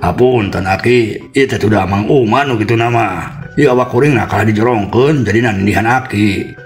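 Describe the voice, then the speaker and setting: A man's voice: on the low side, normal speed, pitched above the performer's own voice, close to an everyday voice. Storybook timid husband male performer: anxious, deferential villager with music behind. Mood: apologetic. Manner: anxious, polite, apologetic. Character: frightened, deferential village husband, adult man.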